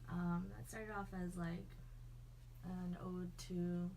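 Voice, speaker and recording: A woman's voice: lowish voice, flat delivery, takes it slowly, dark tone, a little hiss or hum, low-quality recording. A woman guest describing the party she throws, laid-back with ums and likes. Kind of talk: casual conversation.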